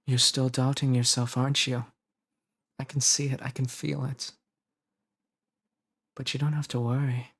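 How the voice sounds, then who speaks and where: Man acting a creaky-voiced, intensely devoted lover. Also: mid-range voice, little pitch movement, a bit bright. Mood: tender, insistent. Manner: pleading, earnest, dramatic pauses. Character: intensely devoted male lover, tender, protective, possessive. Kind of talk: romantic roleplay audio acting.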